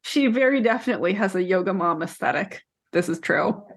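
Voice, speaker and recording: A woman co-hosting a podcast, discussing books casually in a measured, questioning way. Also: medium pitch, expressive. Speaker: podcast co-host.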